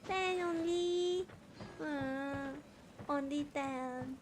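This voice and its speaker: A woman's voice: high voice, extremely slow. A woman with a Malaysian accent giving casual commentary on a game livestream. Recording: game music behind voice. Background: game music and dialogue.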